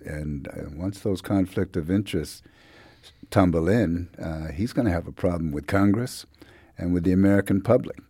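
A middle-aged man giving conversational political commentary as a panelist, frank and measured with some hesitation. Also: sits low, dark tone, slightly rough, no room sound. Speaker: newspaper journalist as panel commentator. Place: radio studio.

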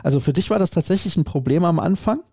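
A male podcast host with a mellow, warm tone and a dry, close sound. He talks in a conversational way, often doubling words for emphasis. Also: on the high side, lively intonation, fast, breathy edge, no echo. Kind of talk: interview questions and commentary.

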